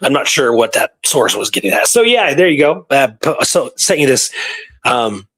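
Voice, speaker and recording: A man's voice: medium pitch, very animated, moderate pace, fairly bright tone, husky. A man hosting a history talk, telling stories casually and going through dates and records in detail. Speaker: podcast host presenting historical research. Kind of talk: casual storytelling conversation.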